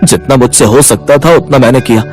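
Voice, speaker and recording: A man's voice: medium pitch, a little fast, a little mellow, close to an everyday voice. A man voicing a kind, humble village eatery owner in storybook dialogue. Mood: pleading. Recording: dry studio voice over music.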